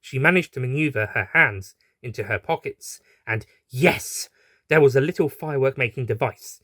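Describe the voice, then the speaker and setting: A man's voice: mid-range voice, normal speed, sharp tone, no echo, studio quality. A man reading a scripted adventure story as its narrator.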